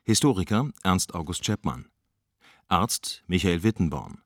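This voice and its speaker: A man's voice: sits low, expressive, a little slow, no echo, clean studio sound. A man formally reading out radio credits as an announcer.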